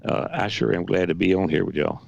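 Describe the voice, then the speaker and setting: Southern radio co-host, man, hoarse and very gravelly, lowish pitch, dry studio sound, folksy and halting. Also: no echo, dull audio. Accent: Southern American. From Georgia. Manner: folksy, halting with many restarts. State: hoarse, weak voice. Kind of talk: casual radio chat.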